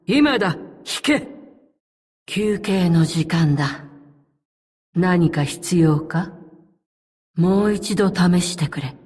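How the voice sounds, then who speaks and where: Game role: calm, loyal female elite operative. Lowish voice, very unhurried, restrained and terse delivery. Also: husky, in a large hall, heavily acted. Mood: commanding. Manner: formal, measured, restrained, terse. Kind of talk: scripted game character voice lines.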